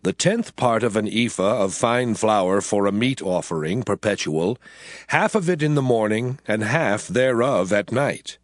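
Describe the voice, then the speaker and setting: A man reading scripture in a solemn, dramatized way, with measured, declarative delivery and archaic diction. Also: on the low side, expressive, dry recording, studio quality. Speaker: scripture reader. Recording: music playing behind the reading.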